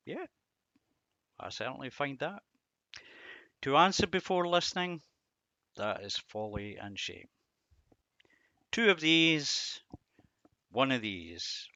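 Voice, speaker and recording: Slow-talking, bright-voiced man giving a devotional. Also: medium pitch, dry recording. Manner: reflective, rhetorical questions with emphatic repetition. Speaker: Bible teacher and host. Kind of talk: devotional talk reflecting on scripture verses.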